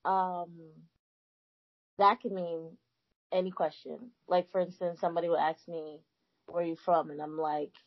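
Husky-voiced woman telling emphatic stories. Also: low voice, highs cut off. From United States, Nigerian family. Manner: animated storyteller, emphatic. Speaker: guest. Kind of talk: casual conversation.

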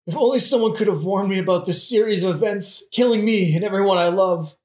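A man's voice: sits high, fairly quick, darkish tone, natural voice. A man playing a dying young prince, sarcastic and crude, with slangy, melodramatic delivery in a modern comedic audio play. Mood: desperate. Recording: roomy, echoing recording.

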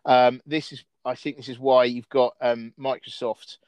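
A man's voice: mid-range voice, little pitch movement, normal speed, darkish tone, clean tone, no echo. A man hosting a casual discussion, rambling with frequent restarts and fillers. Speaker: host.

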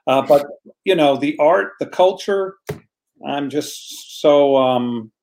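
A man's voice: on the high side, takes it slowly, darkish tone, low-quality recording. An American man, a guest in a casual online chat, telling a story earnestly and repeating words as he searches for them. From United States.

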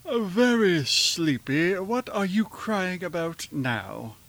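An actor playing a sleepy, dryly exasperated middle-aged husband in a 1940s-style radio play. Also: male voice, sits high, very animated, slow pace, a bit bright, full character voice. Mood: drowsy exasperation.

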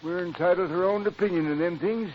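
An actor playing a folksy older henpecked neighbor with a rural American accent in a radio comedy. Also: male voice, sits high, quick pace, lightly acted. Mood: indignant reminiscing. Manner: folksy, rambling, yep-repeating. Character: folksy, henpecked old country neighbor man. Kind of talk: old-time radio sitcom acting. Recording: muffled, hissy old radio recording.

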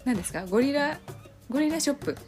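A woman's voice: high-pitched, animated, fast, darkish tone, soft background music, voice pushed up. A woman playing a polite, bewildered real estate agent in an improvised radio drama skit, hesitant and tactful. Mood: baffled.

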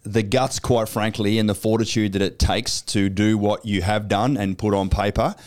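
An Australian man with a low, smooth voice hosts a podcast in a blokey, upbeat way. He swears casually and keeps the chat relaxed. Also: normal speed. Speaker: podcast host.

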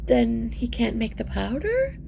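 A woman's voice: a little high, wildly swooping pitch, measured pace, vocal fry, mellow, slight background noise, voice pushed up, heavily acted. A woman playing a determined mother mouse. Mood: puzzled, worried. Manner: earnest, pleading.

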